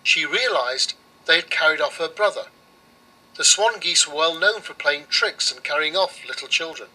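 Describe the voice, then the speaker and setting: High-voiced man reading a children's story. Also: animated, normal speed, sharp tone, slightly rough, low-quality recording. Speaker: narrator.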